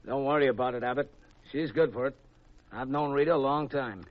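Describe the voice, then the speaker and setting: A man acting a menacing, falsely polite gambling boss in a 1950s radio mystery, terse and husky. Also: medium pitch, fairly quick, no echo, close to an everyday voice. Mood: smooth menace. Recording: muffled old radio recording.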